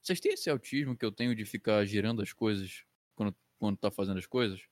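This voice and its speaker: A man's voice: lowish voice, fast talker, no echo. A twenty-year-old Brazilian man hosting a podcast as a casual chat with friends, slangy and foul-mouthed. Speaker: podcast host.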